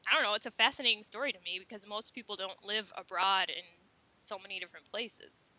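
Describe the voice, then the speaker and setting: A woman's voice: mid-range voice, fast, sharp tone, rough recording. A woman hosting an interview, eager and enthusiastic as she asks her guest questions. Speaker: interviewer and channel host. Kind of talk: casual interview.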